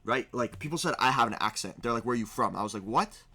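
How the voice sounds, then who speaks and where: A man's voice: mid-range voice, lively intonation, fast talker, sharp tone, breathy edge. A man streaming, talking casually as he reacts to a video, rambling and often restarting his sentences.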